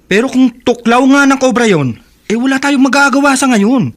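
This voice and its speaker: An actor playing an anxious, loyal young companion in a horror radio drama. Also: male voice, very high voice, animated, normal speed, a bit bright. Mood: worried. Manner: tense, anxious.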